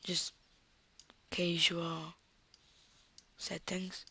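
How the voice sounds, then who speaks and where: Slow Italian woman with a cold. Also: on the low side, level tone, pushed and bright, breathy edge. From Italy. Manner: unhurried, thinking aloud while clicking. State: has a cold. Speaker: software tutorial presenter. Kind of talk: screen-recorded software tutorial.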